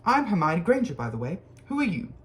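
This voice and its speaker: A reader voicing a bossy, fast-talking schoolgirl know-it-all in a storybook reading, pitching her high and rushing through her words. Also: wildly swooping pitch, slight background noise. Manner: rapid, eager, pitched high.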